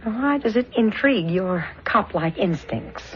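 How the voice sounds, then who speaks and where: A woman's voice: medium pitch, lively intonation, measured pace, lightly acted. An actress playing a shrewd, long-suffering policeman's wife, knowing and drily teasing, in 1970s radio drama style. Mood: curious, observant. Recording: dull lo-fi radio drama recording.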